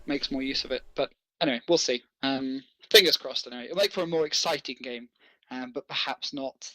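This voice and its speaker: A man's voice: mid-range voice, sharp tone, dry recording, poor audio. A man doing casual esports commentary, thinking aloud as he analyses and calls the play.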